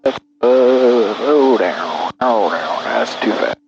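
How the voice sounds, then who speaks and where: A man's voice: medium pitch, lively intonation, extremely slow, vocal fry, breathy edge, no echo. A man piloting a small plane, talking tersely and casually on an aviation headset. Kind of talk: cockpit radio readbacks and muttered self-talk. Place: small aircraft cockpit. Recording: noisy, muffled cockpit radio audio. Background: aircraft noise.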